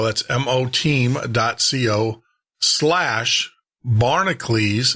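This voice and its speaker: A man's voice: mid-range voice, pushed and bright. A man hosting a radio show and podcast, talking to listeners in a casual, rambling, chatty monologue.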